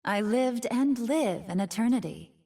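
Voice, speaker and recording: Game casting: wild, wistful nine-tailed fox temptress, theatrical and predatory, lingering pace, slightly distant from the mic. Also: female voice, a little high, expressive, measured pace, warm tone. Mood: wistful, wondering. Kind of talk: video game character voice lines.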